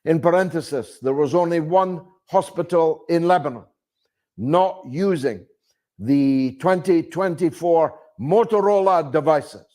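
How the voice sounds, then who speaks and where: A man delivering political commentary as a monologue, phrasing deliberately and emphatically, with rhetorical questions and repetition. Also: fairly high pitch, mellow. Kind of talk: spoken political commentary to an audience.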